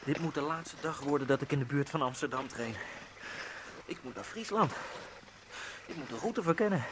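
A man's voice: a little high, noisy background, lightly acted. An actor playing a lonely immigrant man obsessed with speed skating, narrating his thoughts in a wry, brooding radio-drama monologue. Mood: wry musing, then breathless effort. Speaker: first-person narrator of a radio play.

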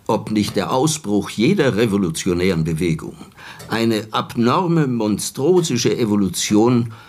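An actor playing an earnest, brooding learned gentleman in a radio drama, speaking deliberately and in a scholarly way. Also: fairly low pitch. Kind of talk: German radio drama acting.

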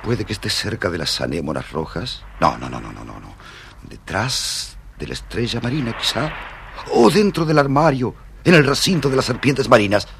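An actor playing a friendly, excitable merman in a children's audio storybook, theatrical, with an Argentine accent. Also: on the low side, moderate pace, gravelly, a little hiss or hum, exaggerated voice. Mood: flustered, excited. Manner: theatrical, expressive. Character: friendly merman, excitable and forgetful, later solemn.

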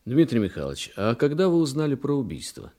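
An actor playing a police investigator in a Russian radio play, polite, measured and quietly ironic as he questions witnesses. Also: medium pitch, wildly swooping pitch. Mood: quietly questioning.

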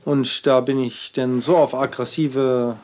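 A man, an artist, telling a rambling personal account in halting speech with frequent fillers and restarts. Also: mid-range voice, little pitch movement, a little mellow.

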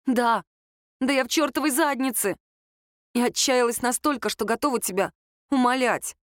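A woman reader voicing a flustered, feisty young woman in audiobook dialogue, emotional and excitable. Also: a little high, normal speed, no echo, clean studio sound, pitched above the performer's own voice, close to an everyday voice. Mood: pleading. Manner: excitable, exclaiming.

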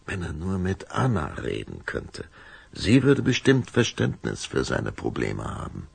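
Male narrator, children's radio drama: very animated intonation, unhurried, suspenseful, husky tone. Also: rumbling low, no echo. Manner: suspenseful, expressive. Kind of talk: dramatic scripted storytelling for a children's radio play.

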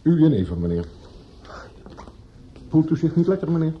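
A man's voice: a little high, very animated, moderate pace. An actor playing an obliging, down-to-earth male barkeeper in a radio play, speaking plainly and with concern. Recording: dull, noisy old radio play recording.

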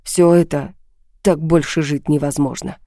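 A woman's voice: low-pitched, steady tone, a little slow, dark tone, no room sound, clean studio sound. A woman voicing a volatile, self-pitying husband in an audiobook drama, agitated, accusing and pleading. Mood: defeated.

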